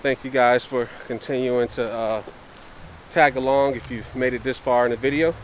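A man's voice: medium pitch, steady tone, normal speed, a little hiss or hum. An American man, a vlogger, chatting casually to the camera in a laid-back, repetitive way. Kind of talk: casual vlog chat to camera. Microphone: handheld camera at arm's length.

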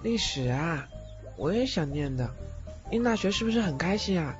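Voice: medium pitch, big pitch swings, moderate pace, natural voice. An actor playing a timid, naive, hungry teenage boy ghost in an audio drama, with a meek, hesitant manner. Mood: grateful, curious. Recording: slightly dull recording with music behind.

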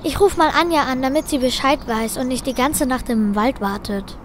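A woman's voice: high-pitched, lively intonation, normal speed, slight background noise. A woman playing an easygoing, eager and naive young boy detective in an audio drama.

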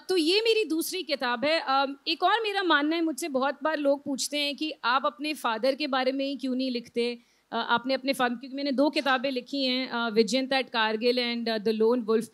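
A woman's voice: high voice, moderate pace, a bit bright. A woman in her thirties with an Indian accent, an author speaking on a festival panel into a handheld mic, reflective and full of fillers, slipping into English phrases. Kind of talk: panel discussion. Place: outdoor literary festival stage.

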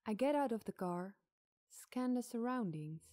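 A woman telling a scary campfire story in a suspenseful, deliberate, dramatic narration. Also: a little high, animated, mellow, no echo, studio quality. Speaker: podcast storyteller.

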